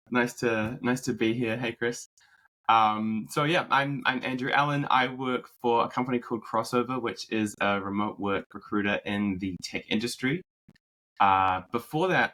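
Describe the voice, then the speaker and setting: A man from New Zealand with a drifting New Zealand accent, a podcast guest telling his story in a relaxed way. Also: on the low side, low-quality recording. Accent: New Zealand, mixed with American. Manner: relaxed storyteller, many fillers and restarts. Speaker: podcast guest, marketing executive. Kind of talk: conversational interview.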